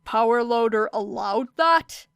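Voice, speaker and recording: A woman's voice: fairly high pitch, lively intonation, measured pace, gravelly, fairly bright tone, clear, resonant tone, dry recording, exaggerated voice. Casting: stern, fed-up male mentor in an audiobook story played by a woman, curt and incredulous, dry close sound. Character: stern, exasperated adult male hero teacher.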